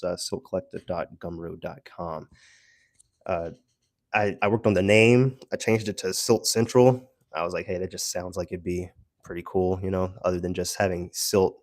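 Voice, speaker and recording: Low-voiced man rambling casually. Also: darkish tone, no echo, studio quality. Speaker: solo creator giving a brand update. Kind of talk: casual rambling audio log.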